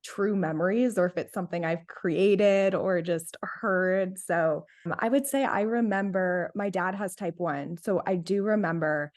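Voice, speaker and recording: A woman's voice: on the low side, a little slow, few pauses, darkish tone. A woman in her late twenties from North Carolina, a diabetes health coach, chatting casually as an interview guest, laughing often and filling with "like". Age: 20s.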